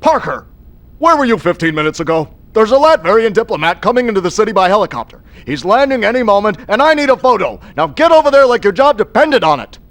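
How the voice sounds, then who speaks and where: An actor playing a blustering, impatient newspaper boss who barks orders in a brusque, bossy way in a video game. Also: male voice, high-pitched, very animated, fast talker, a bit bright, full character voice. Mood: irate, demanding. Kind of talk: cartoonish video game acting.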